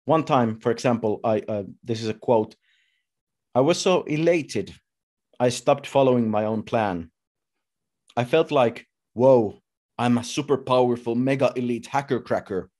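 A man in his thirties giving a personal talk as a speaker, telling his story in short, halting, deliberate phrases. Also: mid-range voice, darkish tone. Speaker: conference speaker. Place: indoors at home, video call.

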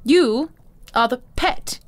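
An actress playing an imperious, cruel older madame in a fantasy audio drama, haughty, silky and condescending. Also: female voice, sits high, lively intonation, slow. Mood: threatening.

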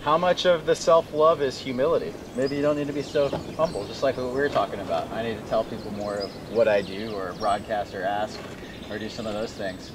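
A man's voice: lowish voice, brisk. A middle-aged man hosting a casual conversational interview as a coach, talking with a friend. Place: outdoors on paddleboards among mangroves. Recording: very noisy outdoor recording with birds. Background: birds and outdoor ambience.

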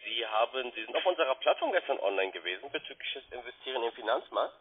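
A man's voice: medium pitch, animated, quick pace, slightly rough. A man working as a call centre sales agent, giving polite, eager patter and asking question after question. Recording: muffled, band-limited phone line.

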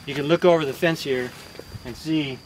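A man's voice: a little high, moderate pace, low-quality recording. An older man giving a casual walking tour of his rural homestead, explaining his methods in a plain, practical, step-by-step way. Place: outdoors on a rural homestead with rice fields around. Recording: outdoor recording with insects and rural noise.

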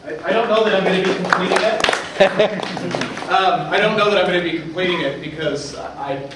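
A man presents informally at a tech meetup, casual and a little halting. His voice sits slightly high, and it reaches a distant mic through strong room echo. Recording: echoey room from far off, clear noise.

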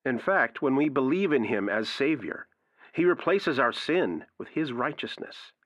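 A man playing an earnest radio preacher in an audio drama, quoting scripture emphatically and deliberately. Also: medium pitch, big pitch swings, a bit bright, dry recording, muffled recording. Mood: earnest, assuring.

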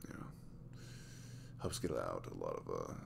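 A man acts out an easygoing, tired single dad in an intimate ASMR roleplay. His voice is extremely low, with heavy vocal fry and lively swings in pitch. He chats softly and hesitantly, rambling along in a sweet, casual way. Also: moderate pace, background noise, exaggerated voice. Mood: tender, rambling. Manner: hesitant, rambling, soft-spoken.

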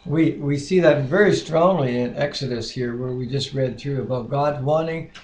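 A man's voice: medium pitch, moderate pace, darkish tone. A man in his sixties co-hosting a Bible reading with casual devotional talk, prayer and scripture, recorded on a webcam in a living room. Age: 60s. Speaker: co-host of a Bible reading series. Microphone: webcam microphone at arm's length. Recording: roomy webcam audio with faint noise.

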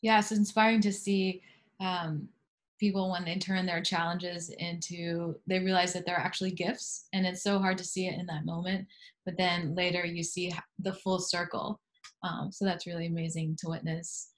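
Low-voiced woman moderator, encouraging. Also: level tone, moderate pace. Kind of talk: moderating a panel. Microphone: video call.

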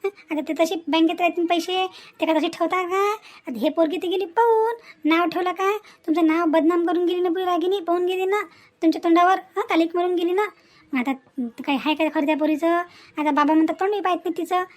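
A woman's voice: very high-pitched, fairly even intonation, fairly quick. A woman with a Vidarbha Marathi accent playing a greedy, bossy young daughter-in-law in a cartoon family drama, wheedling and insistent. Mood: scheming, insistent. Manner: insistent, wheedling, punctuated with questioning grunts.

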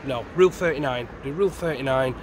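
A man's voice: mid-range voice, fast talker, background noise. A middle-aged man answering interview questions in a matter-of-fact, earnest way, outdoors on a street at night with a handheld mic. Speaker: interview guest, former prisoner.

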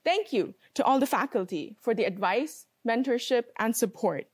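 A woman in her early twenties giving a prepared graduation speech as a student, reading in measured short phrases with pauses. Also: on the high side, lively intonation, breathy edge. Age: early 20s. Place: large indoor hall with wooden floor and stone wall.

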